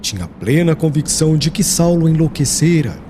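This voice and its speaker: A male audiobook narrator reads dramatic literary prose solemnly. His voice sits fairly high and moves through a wide melodic range, with slight noise in the background. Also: very animated, normal speed. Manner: solemn, formal.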